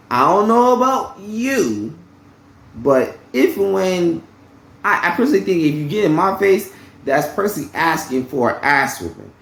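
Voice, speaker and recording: A man's voice: a little high, vocal fry, roomy sound. A man casually telling a story about his job, rambling and repeating himself, often asking "okay?" and swearing bluntly. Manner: blunt, uses profanity freely. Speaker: vlogger telling a work story.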